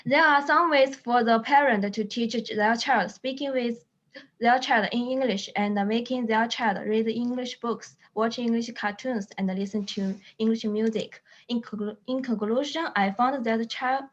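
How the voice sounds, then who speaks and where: A woman's voice: fairly high pitch, muffled recording. A woman student earnestly reading a short class presentation, with small slips.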